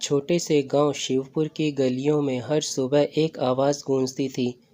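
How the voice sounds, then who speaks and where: A man's voice: mid-range voice, little pitch movement, a little slow, warm tone. A man reading a scripted story as a narrator. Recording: dull lo-fi recording.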